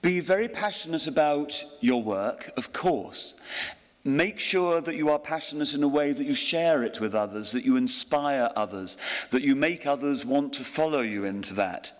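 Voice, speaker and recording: A man in his sixties giving a graduation address at a ceremony, self-deprecating, with pauses and ums. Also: medium pitch, lively intonation. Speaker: honorary graduate giving a speech. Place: large hall at a graduation ceremony. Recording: long hall reverb.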